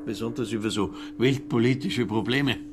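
A man's voice: medium pitch, steady tone, normal speed, noisy background, lightly acted. An actor playing a tipsy, pompous older Austrian man of letters in a radio drama, declaiming sentimentally with an Austrian accent. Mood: animated, tipsy.

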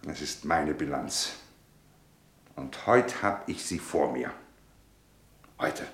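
A man's voice: a bass voice, slow pace, reverberant. An actor playing a bitter, disillusioned middle-aged businessman husband in a German radio drama, with a sarcastic, theatrical way of talking. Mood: resigned, brooding. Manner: sarcastic, rhetorical, theatrical delivery.

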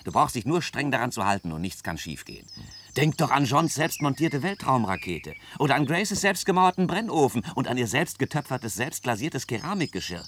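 A man's voice: medium pitch, big pitch swings, fairly quick, fairly bright tone. An actor playing an eager, naive father and hobby builder in a German radio play, earnest and enthusiastic. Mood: earnest, defensive. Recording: noisy archival radio play recording.

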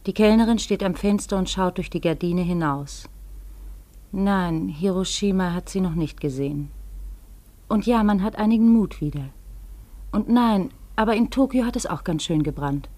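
A woman plays a quiet observer narrating a literary radio drama. Her voice is of medium pitch. She reports in short, clipped, present-tense phrases. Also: moderate pace, lightly acted. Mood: detached. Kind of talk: scripted radio play narration.

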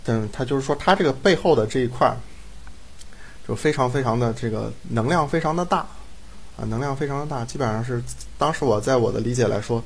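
A man's voice: medium pitch, brisk, slightly rough. A man, a drama director, explaining casually in a rambling way, repeating his phrases. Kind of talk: casual explanation in a group chat.

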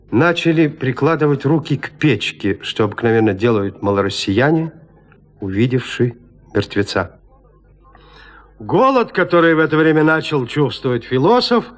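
A man narrating a classic literary tale in an audio drama, measured, with deliberate pauses. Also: fairly high pitch.

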